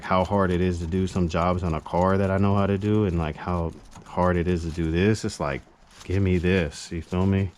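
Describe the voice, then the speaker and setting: Low-pitched man muttering through a repair. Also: a little mellow. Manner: slangy, muttering to himself and addressing viewers. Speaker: amateur repair vlogger. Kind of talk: casual unscripted chat while working on a repair. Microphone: GoPro action camera. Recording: noisy action-camera audio.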